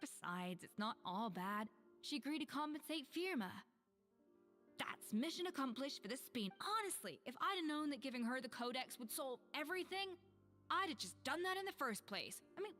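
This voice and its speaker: Principled head chef, high voice. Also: female voice, very animated, normal speed, bright, forceful tone, soft background music, heavily acted. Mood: resigned. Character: proud, principled young female head chef, composed but weary. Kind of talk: anime-style video game voice acting.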